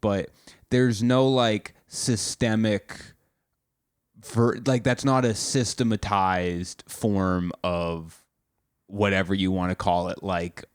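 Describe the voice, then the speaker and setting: A man with a lowish voice hosts a casual podcast chat, quick-witted and teasing as he questions his guest. The sound is close and dry, with no room around it. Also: flat delivery, smooth, no room sound. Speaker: podcast host.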